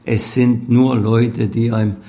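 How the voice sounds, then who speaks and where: A man's voice: mid-range voice, flat delivery, unhurried, dark tone. A man who works as a workshop instructor, talking frankly and colloquially.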